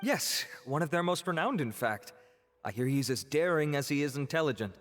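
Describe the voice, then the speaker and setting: A man voicing a polite, calm young male academy official in a video game, formal and soothing, over game music. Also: fairly high pitch, moderate pace, lightly acted. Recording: game audio under music.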